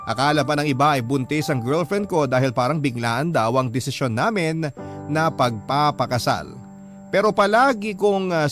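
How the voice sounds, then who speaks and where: A young man with a Filipino accent and a slightly high voice performed by an actor narrates his love story earnestly, as a scripted radio drama letter. Music plays clearly behind him. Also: lightly acted.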